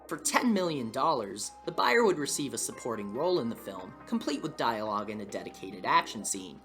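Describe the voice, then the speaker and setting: A man's voice: mid-range voice, sing-song, fairly quick, a bit bright. A man reading scripted video essay narration with wry, dry humour. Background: background music.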